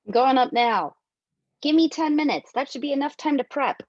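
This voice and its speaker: A dry, muffled recording of a woman playing a brisk crime-film crew member. The voice is fairly high and businesslike, as if making a phone call. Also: animated, no echo. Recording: dull, close, lo-fi microphone.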